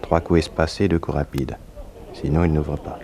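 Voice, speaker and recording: An actor playing a shady, laconic bar owner of the underworld in a 1960s French radio drama. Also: male voice, a bass voice, steady tone, normal speed, warm tone. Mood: terse, confidential. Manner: laconic, confidential. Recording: faintly noisy vintage radio recording.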